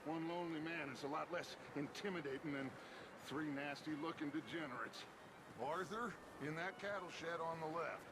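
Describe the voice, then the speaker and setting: An actor playing a middle-aged, silver-tongued outlaw gang leader in a video game, theatrical, courteous and persuasive. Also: male voice, a little high, moderate pace, background noise, lightly acted. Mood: commanding. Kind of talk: dramatic video game acting. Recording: music behind the voice.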